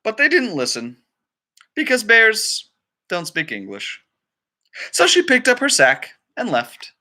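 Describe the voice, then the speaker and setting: Bright, animated man reading a storybook. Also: high voice, slow. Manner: animated, dramatic phrasing. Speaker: storybook reader. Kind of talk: expressive children's read-aloud narration with casual asides.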